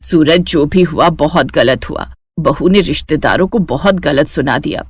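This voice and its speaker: Woman as a gentle, lower-voiced older mother-in-law. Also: unhurried, breathy edge, no echo, studio quality. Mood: troubled.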